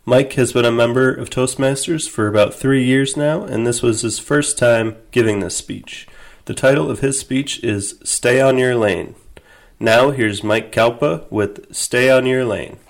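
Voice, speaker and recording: A man's voice: mid-range voice, measured pace, vocal fry. A man presenting as a podcast host, reading a scripted introduction between speeches.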